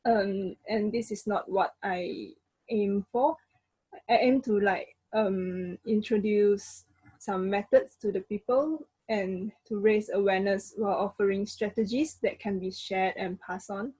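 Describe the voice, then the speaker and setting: A woman's voice: mid-range voice, steady tone, mellow, breathy edge, no echo, rough recording. A young woman with a Malaysian accent giving an informal, hesitant student presentation over an online call. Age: young adult. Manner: hesitant, halting.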